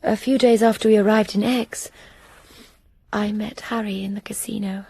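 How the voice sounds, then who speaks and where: An actress playing a frightened young English woman, recounting events in a soft, hushed, breathy way. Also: medium pitch, fairly even intonation, moderate pace, dry recording. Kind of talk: radio drama narration and acting.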